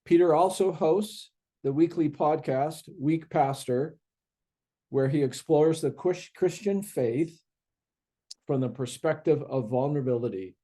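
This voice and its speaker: A man's voice: a little high, a little slow, dark tone. A man moderating a seminary panel on humility, introducing the speakers with many fillers and restarts.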